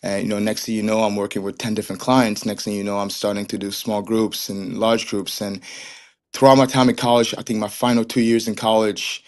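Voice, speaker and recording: Earnest young man, lowish voice. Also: flat delivery, fairly quick, a little mellow. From Cameroon. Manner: earnest, many restarts and repeated "and, and". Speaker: guest, soccer trainer and coach. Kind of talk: casual interview chat.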